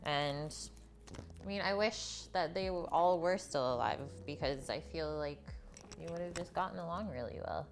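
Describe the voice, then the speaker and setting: A woman's voice: low-pitched, moderate pace, a little hiss or hum. A woman hosting a live cooking stream, talking in a chatty, informal way to viewers as she works and tells stories. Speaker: cooking livestream host.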